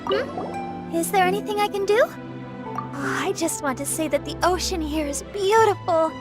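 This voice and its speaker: A sweet teenage performer from a video game. Her voice is extremely high, girlish and eager to please. Music plays clearly behind her. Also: unhurried. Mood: dreamy. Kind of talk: video game character acting.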